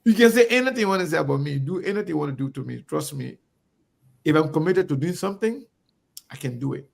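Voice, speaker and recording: A man's voice: on the high side, lively intonation, fast, gravelly. A middle-aged Liberian man with a Liberian English accent, delivering an emphatic, repetitive talk-show monologue with a preacher-like cadence. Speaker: online talk show host. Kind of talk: impassioned monologue.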